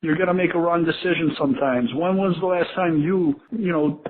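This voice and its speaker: A man rants bluntly on a thin phone line with faint noise behind him. His voice sits a little high, and he swears freely as he gives his opinions like a sports show caller. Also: fairly quick. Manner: blunt, profane. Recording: noisy phone voicemail, tinny with clicks.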